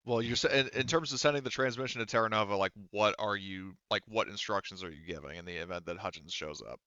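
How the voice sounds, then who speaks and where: A man running a tabletop roleplaying game as game master, explaining the scene in halting, unscripted narration. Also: on the low side, bright, forceful tone, clean tone, dry recording, highs cut off.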